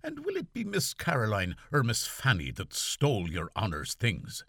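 A man's voice: lowish voice, wildly swooping pitch, moderate pace, gravelly, sharp tone, slightly rough, no room sound, studio quality, pitched above the performer's own voice, full character voice. A man voicing a cautious manservant, deferential and wheedling, in an audiobook. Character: cautious Irish male manservant. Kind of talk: audiobook character voice.

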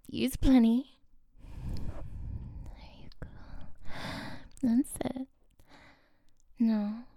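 A woman performing an intimate scripted audio roleplay, teasing in a slow manner, often sinking into a whisper, with soft giggles, close to the microphone. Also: on the high side, creaky, no echo. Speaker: audio content creator.

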